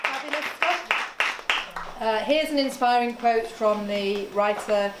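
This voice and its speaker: A woman's voice: fairly high pitch, unhurried. Effusive woman host in echoey hall. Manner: effusive, chatty. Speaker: event host. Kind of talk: introducing speakers at an art salon. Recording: echoey hall, distant mic, crowd noise.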